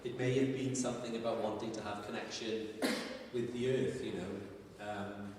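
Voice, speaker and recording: A man with a somewhat low voice talks through audience questions, pausing to find his words. He is heard from a distance in a large, echoing hall. Room noise sits clearly underneath. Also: level tone, darkish tone. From Wales. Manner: thoughtful, searching for words. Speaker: filmmaker answering audience questions. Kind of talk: live Q&A answers. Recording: echoey hall, voice from far off.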